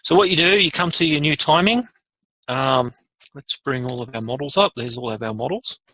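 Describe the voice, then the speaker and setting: A man presenting a casual software tutorial, informally thinking aloud as he demonstrates each step. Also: mid-range voice, moderate pace, no echo, poor audio. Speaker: webinar presenter.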